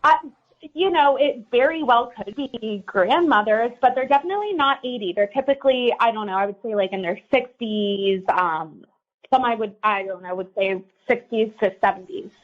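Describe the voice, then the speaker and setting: A woman's voice: fairly high pitch, normal speed, muffled recording, natural voice. A woman playing a chatty, bewildered caller on a radio show, rambling casually with lots of "like". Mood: puzzled, suspicious. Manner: rambling, filler-heavy, frequent "like". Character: chatty, bewildered young woman suspicious of her boyfriend. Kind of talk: casual phone-in chat.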